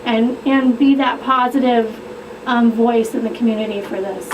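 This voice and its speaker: A woman's voice: fairly high pitch, little pitch movement, normal speed, distant mic, dull audio. A woman explaining her group's project on a radio talk show, enthusiastic and chatty. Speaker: guest expert. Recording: radio studio, off-mic, noisy.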